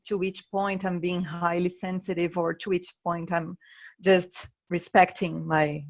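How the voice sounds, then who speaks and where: A woman's voice: on the low side, little pitch movement, a little slow. A woman from Brazil with a Brazilian Portuguese accent, a non-native English speaker, calling in hesitantly with nervous laughs. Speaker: caller. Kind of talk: casual call-in conversation. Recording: slightly dull call audio.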